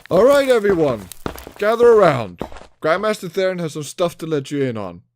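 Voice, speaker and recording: A man playing a brisk, friendly master who guides new recruits in an audio drama, in an instructive, announcing manner. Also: high voice, very animated, darkish tone, a little hiss or hum. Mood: brisk, welcoming.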